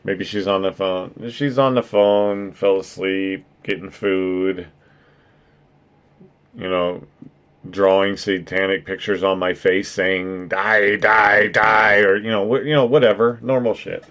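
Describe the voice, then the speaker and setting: A man with a naturally deep voice hosting a casual stream chat, joking, swearing and laughing often. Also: fairly even intonation, measured pace, clear, resonant tone. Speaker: streamer and podcast host.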